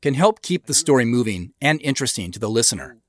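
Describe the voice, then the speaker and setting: A man giving scripted director's commentary in a measured, explanatory manner into a close mic. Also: medium pitch, lively intonation. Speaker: show creator and commentator.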